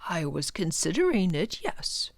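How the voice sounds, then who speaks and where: Storybook professor, male, read by a woman: courteous, easy, earnest, gently determined, lifted a little above the narration. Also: lowish voice, big pitch swings, measured pace, creaky, dry recording, full character voice. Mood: calm. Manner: quiet, polite, reasoning. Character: polite, easygoing male professor, quietly determined.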